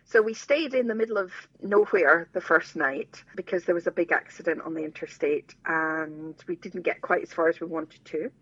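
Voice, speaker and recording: A woman telling a story in casual chat with animated, self-mocking humour. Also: on the low side, normal speed, hardly any pauses, husky, no room sound. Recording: dull lo-fi sound.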